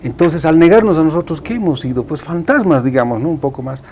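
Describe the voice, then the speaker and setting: A man's voice: fairly high pitch, animated, fast, darkish tone, slight background noise. A man, an Aymara speaker, explaining things emphatically and didactically as an interview guest. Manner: emphatic, didactic, repeats and seeks agreement.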